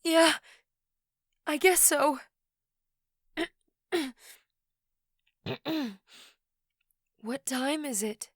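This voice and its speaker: A woman's voice: sits high, extremely slow, no room sound, studio quality. A woman playing an anxious, depressed teenage girl in an audio drama, hesitant and weary. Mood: subdued.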